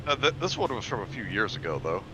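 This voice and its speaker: A man's voice: fairly low pitch, big pitch swings, fast talker, sharp tone. A man in casual banter as a co-host, recorded on a muffled, lo-fi mic with noise behind him.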